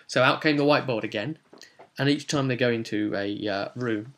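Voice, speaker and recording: A man's voice: mid-range voice, normal speed, fairly bright tone. A man with a British accent casually recounting a tabletop role-playing session as game master, chatty and explanatory, with asides to the viewer.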